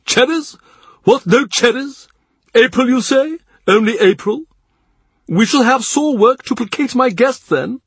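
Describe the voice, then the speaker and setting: A grand old churchman full of honeyed, teasing charm, as a man puts him on. He swoops high and playful, his voice slightly husky and close to the mic. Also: animated, a little slow, a bit bright, no echo, full character voice. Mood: mock dismay. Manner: theatrical, high and coaxing, mock-lamenting. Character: affable, teasing older male cardinal, honeyed and grand.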